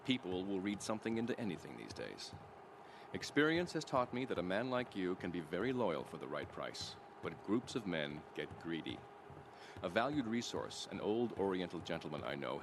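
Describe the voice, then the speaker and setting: Calculating tycoon: low voice, formal and measured, commanding game villain, noisy street behind. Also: male voice. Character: smooth, calculating male business tycoon, cold and commanding. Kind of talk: video game cutscene acting. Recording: noisy, outdoor urban vehicle sounds behind.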